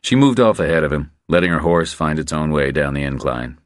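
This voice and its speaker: A man narrating a crime thriller audiobook in a measured, deliberate, scripted style. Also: very deep voice, lively intonation, no echo, studio quality.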